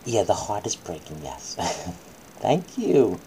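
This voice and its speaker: A woman's voice: rumbling low, sing-song, takes it slowly, mellow, slight background noise, poor audio. A woman acting out a bedtime story for children, moving between dramatic reading and coaxing, soothing asides.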